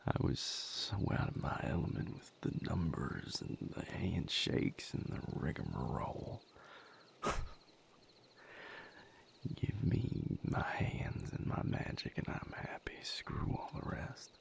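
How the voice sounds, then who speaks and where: A man acting as a gentle vampire healer in an intimate audio roleplay. His low voice is heavy with fry and often falls to a breathy whisper. He talks slowly and haltingly, wry, a little weary and thoughtful. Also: huge pitch swings, a little hiss or hum, heavily acted. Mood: wry amusement. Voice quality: breathy, gravelly near-whisper. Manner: halting, reflective, casually profane.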